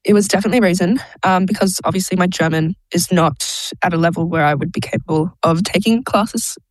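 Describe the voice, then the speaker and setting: A woman's voice: fairly low pitch, fast, no room sound, poor audio. A young Australian woman, an international student, chatting in an interview, laughing often and filling her talk with "like" and "um". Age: young adult. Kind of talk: casual interview.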